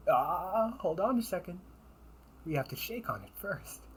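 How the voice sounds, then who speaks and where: A man performs a scheming older brother, an information broker in a sci-fi crime syndicate, for an audio roleplay. He is smooth and teasing, speaking slowly with theatrical, highly animated swings of pitch. Faint noise sits behind the amateur recording. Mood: satisfied, smug. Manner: silky, teasing, unhurried, theatrical.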